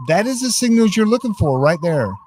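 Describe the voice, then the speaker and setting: A man's voice: high-pitched, big pitch swings, a little fast, darkish tone, slight background noise. A man giving a casual, hands-on live tutorial in a folksy, informal way, speaking straight to his viewers. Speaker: online class presenter demonstrating radio gear. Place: indoors.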